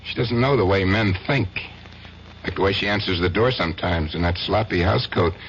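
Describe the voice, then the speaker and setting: An actor playing an obsessively jealous, unrepentant husband in a 1970s radio drama, plain-spoken and self-justifying. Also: male voice, low-pitched, fairly quick. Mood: brooding. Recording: dull, noisy 1970s radio broadcast.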